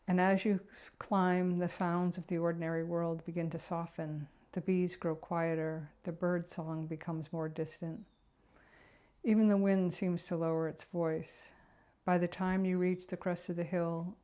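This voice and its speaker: A woman narrating a guided meditation in a gentle, unhurried, reflective way. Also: fairly low pitch.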